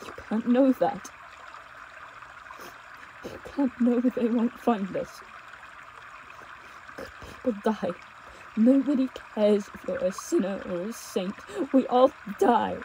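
Casting: woman as devoted young runaway pastor's daughter, audio drama; slightly high, tender, emotive voice over faint water ambience. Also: slow pace, long pauses, mellow, a little hiss or hum, poor audio. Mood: fearful, pleading. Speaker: audio roleplay performer. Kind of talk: intimate dramatic audio roleplay acting. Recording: nature ambience with trickling stream water. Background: outdoor ambience sound effects, running water.

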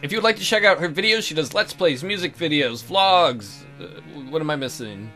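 Lively teasing man hosting a playthrough. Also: on the high side, normal speed, faint music behind. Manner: teasing, jokey. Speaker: let's play host. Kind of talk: casual joking game commentary.

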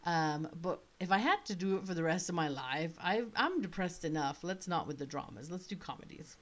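A woman in her thirties talks into her webcam in a small room, her voice sitting a little low. A faint British accent colours her chatty, wandering commentary, full of asides as she thinks aloud. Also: animated, fairly quick, fairly bright tone. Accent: slight British. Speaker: author playing a choice game. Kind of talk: casual commentary.

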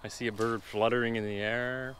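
A man's voice: mid-range voice, flat delivery, a little fast, a bit bright, a little hiss or hum. A man casually vlogging from a rural farm, chatty and rambling, with lots of fillers. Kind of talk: casual handheld vlog narration.

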